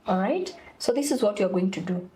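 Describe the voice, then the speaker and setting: An actress playing a school counselor who firmly tells an upset student to calm down and breathe. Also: female voice, medium pitch, brisk, a little hiss or hum, natural voice. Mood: soothing, firm. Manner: soothing but insistent, repeats calm-down instructions.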